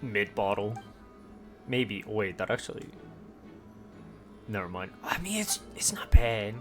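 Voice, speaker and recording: A man giving casual, laid-back, deadpan commentary while streaming a game. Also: on the low side, measured pace. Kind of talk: casual play-along commentary. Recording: game music behind.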